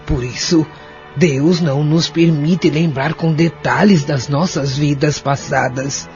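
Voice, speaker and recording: An actor voicing a calm, devout elderly wife who gently moralises in an audio soap opera. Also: male voice, fairly high pitch, slow. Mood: consoling. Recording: lo-fi audio under clear music.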